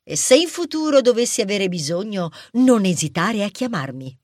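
A woman playing a gentle, gracious goddess of wisdom in a storybook, speaking kindly and with measured care. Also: medium pitch, big pitch swings, no echo, clean studio sound. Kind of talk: audiobook character acting.

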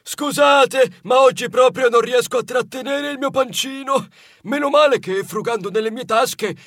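High-pitched, boastful cheeky mouse. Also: male voice, fairly bright tone, dry recording, studio quality. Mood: proud, cheeky. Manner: brash, pompous, showy. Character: boastful, cheeky, greedy male mouse cousin, storybook.

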